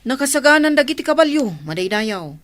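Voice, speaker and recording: A woman's voice: high-pitched, expressive, fast, a bit bright, no echo. A woman acting a deferential servant to a sultan in an Ilocano radio drama.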